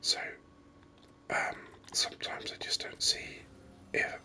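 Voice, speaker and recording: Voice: takes it slowly, pushed and bright. A gaming host whispering ASMR commentary very close to the microphone in a rambling, hesitant way. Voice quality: breathy unvoiced whisper. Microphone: microphone very close to the mouth. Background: voice-over on game screen capture.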